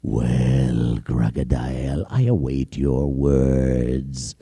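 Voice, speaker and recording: A male storyteller voicing a menacing, hungry lion king who speaks slowly and darkly in drawn-out, sinister phrases. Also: a bass voice, level tone, gravelly, warm tone, slightly rough, pitched below the performer's own voice, exaggerated voice.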